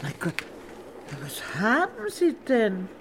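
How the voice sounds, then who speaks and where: A noisy vintage radio play recording of a nosy old lady traveller. She is talkative and insistent, and her intonation rises and falls in lively sweeps. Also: a little high, takes it slowly, exaggerated voice. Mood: shocked, gossipy. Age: older. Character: chatty, persistent, nosy old lady train passenger. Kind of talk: 1960s German radio drama acting.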